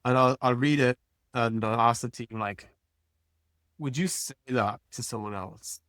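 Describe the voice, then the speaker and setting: A man's voice: medium pitch, measured pace, a bit bright, no echo, studio quality. A man speaking as an interview guest in a casual, plain-spoken way, like an executive talking informally about work. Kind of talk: casual conversational interview answers.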